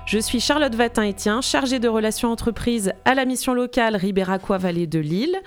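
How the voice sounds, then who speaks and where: A woman's voice: on the high side, fairly quick, faint music behind. A woman hosting a local radio interview program, introducing guests in a friendly, lightly scripted way. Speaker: radio show host.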